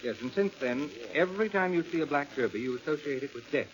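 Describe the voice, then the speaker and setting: Actor as a calm, muffled radio doctor. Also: male voice, a little high, natural voice. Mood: calm, clinical. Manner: measured, clinical, reassuring. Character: calm, authoritative male doctor, reassuring. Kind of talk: 1940s radio acting. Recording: muffled old radio recording.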